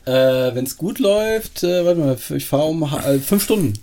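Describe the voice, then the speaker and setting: A man's voice: on the high side, expressive, normal speed, mellow. A man chatting casually as a podcast co-host, with teasing, ironic banter.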